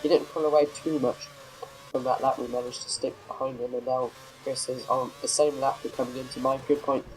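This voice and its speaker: A man's voice: medium pitch, flat delivery, fairly quick, a little hiss or hum, rough recording. A man with a cold and a slightly nasal voice, casually commentating his racing game, rambling and correcting himself. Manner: rambling, self-correcting, many fillers. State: has a cold, a bit nasal. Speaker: gameplay commentator.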